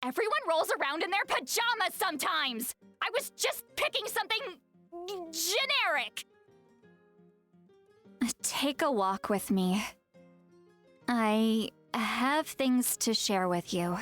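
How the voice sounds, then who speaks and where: A woman's voice: high voice, sing-song, slow pace, long pauses, a bit bright, faint music behind, heavily acted. A woman voicing a flustered, defensive girlish video game character who speaks dramatically and is easily embarrassed. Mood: sheepish, then resigned.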